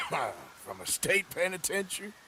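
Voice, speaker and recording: An actor playing a gruff, weary, sardonic Old West outlaw in a video game, with an American accent and laconic, folksy talk. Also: male voice, fairly high pitch, very animated, a little slow, heavy background noise. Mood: exasperated.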